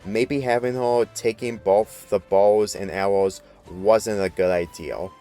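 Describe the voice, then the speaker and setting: A man's voice: lowish voice, steady tone, a little slow, warm tone, soft background music. A man reading a story aloud as scripted narration. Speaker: fan fiction reader.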